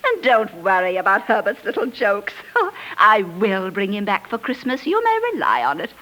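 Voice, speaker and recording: A woman playing a bossy, fussy British society wife, brisk and theatrical in the style of forties radio drama. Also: mid-range voice, huge pitch swings, background noise. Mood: gushing, assured. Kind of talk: 1940s radio acting.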